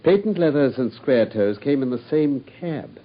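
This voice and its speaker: Self-assured Victorian detective lecturing, voiced by a man, husky tone, lively pitch, dull old radio sound. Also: normal speed, lightly acted. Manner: crisp, confident, lecturing. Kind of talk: radio drama.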